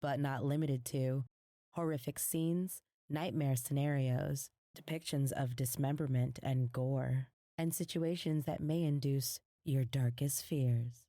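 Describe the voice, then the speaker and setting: A woman announcer reading a scripted horror intro slowly and solemnly. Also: a bass voice, fairly even intonation, warm tone, no echo, studio quality. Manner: slow, solemn, ominous delivery. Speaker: show announcer.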